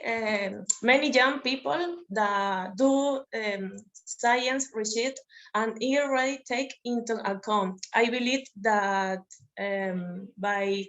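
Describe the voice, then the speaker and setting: Hesitant woman, slow. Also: a little high, a bit bright, poor audio. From Argentina. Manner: hesitant, halting, many pauses. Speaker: participant, researcher. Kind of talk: spontaneous comment in a webinar. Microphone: video call.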